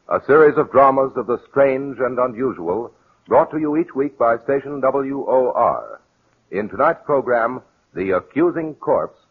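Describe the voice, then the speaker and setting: A narrow, muffled vintage broadcast carries a male announcer with a husky voice at medium pitch. He reads scripted copy in formal 1940s radio style.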